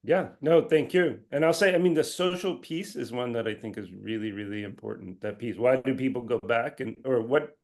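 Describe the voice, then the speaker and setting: A man with an average-pitched voice responds to comments in an online academic discussion. He is conversational and informal, restarting phrases and dropping in quoted thoughts. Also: normal speed, hardly any pauses, a little mellow. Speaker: presenter answering comments. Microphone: video call.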